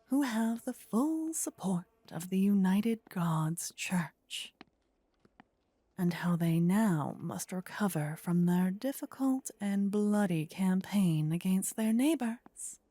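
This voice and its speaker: Woman acting a breathy, whispering demon queen. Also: mid-range voice, warm tone, dry recording. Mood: frustrated, scheming. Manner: measured, formal, regal. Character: possessive warlike demon queen, devoted to her champion. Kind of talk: intimate ASMR roleplay acting.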